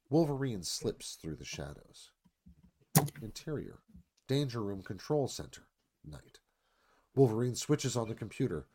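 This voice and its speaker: A man's voice: mid-range voice, darkish tone. A man narrating a screenplay's stage directions in a live radio-theatre reading.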